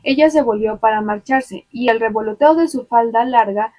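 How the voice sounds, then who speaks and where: A woman reading a novel aloud in an expressive way, sometimes stumbling and restarting words, with chatty asides to her viewers. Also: fairly high pitch, normal speed.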